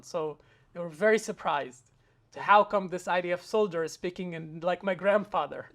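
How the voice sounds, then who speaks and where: A man's voice: high voice, a bit bright. A young man from Israel, an interview guest telling a personal story in an earnest way, with frequent hesitant fillers and restarts.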